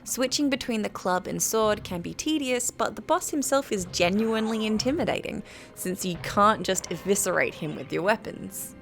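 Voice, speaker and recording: British woman narrating, slightly creaky voice. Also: medium pitch, lively intonation, normal speed, slight background noise. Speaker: video essay narrator. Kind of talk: scripted game review narration.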